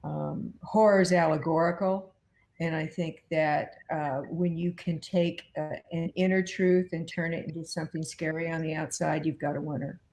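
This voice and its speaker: A woman giving reflective interview answers as a guest, measured and thoughtful, speaking in concise general statements. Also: low voice, flat delivery, a little mellow. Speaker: guest, producer.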